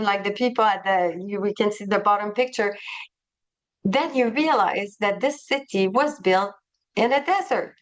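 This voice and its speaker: A woman with a non-native English accent presents a tour itinerary to travel agents on a webinar. Her voice sits a little high. She sounds enthusiastic and keeps hesitating and restarting, recorded on a computer mic. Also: smooth.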